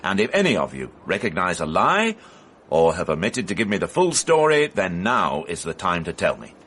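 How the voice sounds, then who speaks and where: An actor playing a formal, persistent police inspector in a radio drama, speaking precisely and probingly. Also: male voice, sits low, wildly swooping pitch, moderate pace, fairly bright tone, slightly rough, exaggerated voice. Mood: stern, formal. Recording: faintly noisy archive radio broadcast.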